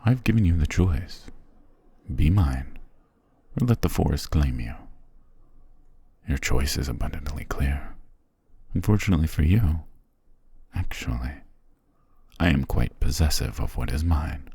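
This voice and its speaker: Man playing a very deep, gravelly vampire patriarch. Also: expressive, warm tone, dry recording, full character voice. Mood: stern, possessive. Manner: formal, archaic, commanding, measured. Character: ancient, imperious, possessive male vampire coven patriarch. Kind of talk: ASMR fantasy roleplay.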